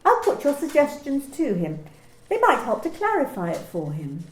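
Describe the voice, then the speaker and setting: An amateur actress playing a formal, officious female workplace manager in a radio play, measured and precise. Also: sits high, wildly swooping pitch, reverberant, low-quality recording.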